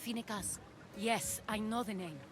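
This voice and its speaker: An actress playing a composed, worldly advisor in an ancient Greek video game, speaking in a measured, deliberate way. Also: female voice, mid-range voice, background noise, lightly acted. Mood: calm counsel. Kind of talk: video game cutscene acting.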